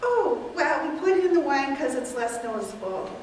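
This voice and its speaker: A woman's voice: sits high, very animated, moderate pace, a little mellow, heavy reverb, recorded from a distance, a little hiss or hum. A woman doing a sweet, placid elderly aunt.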